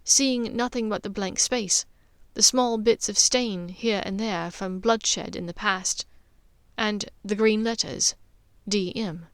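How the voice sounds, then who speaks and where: A woman narrating an audiobook story in a measured, expressive storytelling manner. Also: mid-range voice, no echo. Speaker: narrator and author of a fan fiction podfic.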